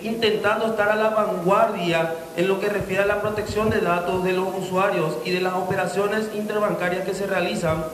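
A Paraguayan man in his thirties, a police spokesman, gives a formal, wordy press statement with safety advice in a Paraguayan accent. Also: high-pitched. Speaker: police deputy commissioner, spokesman. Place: indoor conference room. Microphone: handheld microphone held close to mouth. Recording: echoey room, long reverb, background noise.

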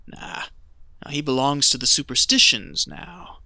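An actor playing a greedy, callous treasure hunter in an audio drama, talking in a scheming, folksy, persuasive way. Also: male voice, mid-range voice, very animated, unhurried, creaky, pushed and bright, no room sound, exaggerated voice. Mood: callous, gleeful.

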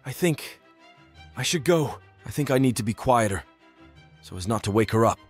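A man voicing a friendly, gentle cartoon dog in a comic dub, earnest and expressive. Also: medium pitch, normal speed, over music, lightly acted. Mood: hushed, gentle. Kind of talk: animated comic dub acting.

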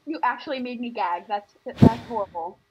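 Higher-voiced woman playing a role-play game. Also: moderate pace, breathy edge, dull audio. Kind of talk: casual game chat.

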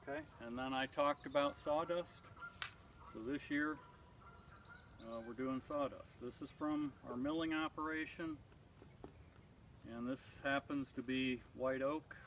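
A man's voice: medium pitch, fairly bright tone, a little hiss or hum. Man explaining beekeeping from a distant camera. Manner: plain, methodical explaining with frequent "uh" pauses. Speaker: beekeeper vlogger. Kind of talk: casual demonstration. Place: outdoors in leafless spring woods. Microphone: far camera on a tripod. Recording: distant outdoor mic with clear background ambience.

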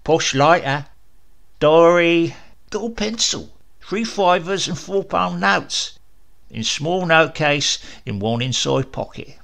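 A man voicing a brisk man who reads out a captive's belongings in short, clipped phrases. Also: on the high side, animated, creaky, fairly bright tone, pitched above the performer's own voice, full character voice.

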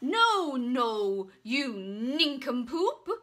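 A woman's voice: high-pitched, big pitch swings, very slow, a bit bright, full character voice. A woman voicing a pompous, indignant male knight from a storybook, blustering and spluttering. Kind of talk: storybook character voice.

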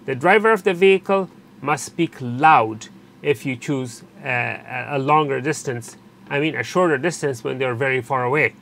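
A man narrating an unscripted step-by-step technical tutorial in a halting, explanatory way, repeating and rephrasing as he goes. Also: mid-range voice, gravelly. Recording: steady background noise, room ambience.

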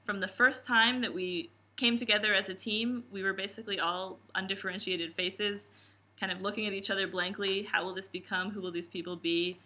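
A woman in her twenties from the New Jersey and New York area, a camp coach, speaking expressively in an interview. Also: a little fast. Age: 20s. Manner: expressive, acts out quotes with big pitch jumps. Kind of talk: reflective interview answers. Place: indoor room with posters.

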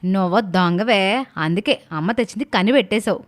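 A woman's voice: medium pitch, normal speed, close to an everyday voice. A woman playing a fond, teasing village mother who scolds affectionately in homely colloquial speech, in a story cartoon. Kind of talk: animated moral story dialogue.

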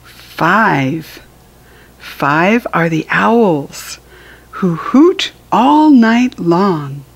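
An American woman reads aloud for young children at a very slow, deliberate pace. Her voice is fairly low and husky, with an encouraging, sing-song delivery that teaches as it goes. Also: big pitch swings. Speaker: children's book reader and teacher. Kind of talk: read-aloud counting lesson for young children.

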